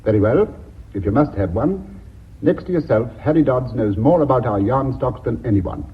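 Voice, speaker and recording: An actor in a radio drama playing a dry, sardonic, supercilious board member with a cutting deadpan manner. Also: male voice, medium pitch, moderate pace, slightly rough. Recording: thin, narrow-band radio recording.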